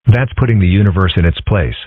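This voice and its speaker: A man plays a young cartoon fox who muses calmly and wryly. The voice is low and slightly rough, with deadpan, measured delivery. The audio is dry and phone-thin. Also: no echo. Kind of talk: comic strip dub. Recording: muffled, phone-like narrow audio.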